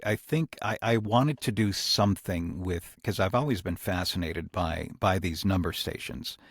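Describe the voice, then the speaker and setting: Man, deep voice, slow pace, reflective podcast talk, thoughtful, searches for words. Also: no room sound, studio quality. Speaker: audio drama writer and creator.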